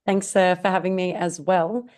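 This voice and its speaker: A woman with an Australian accent, a podcast guest talking in a relaxed, conversational way with frequent uh fillers. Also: lowish voice, moderate pace. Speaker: guest, marketing director. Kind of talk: podcast interview.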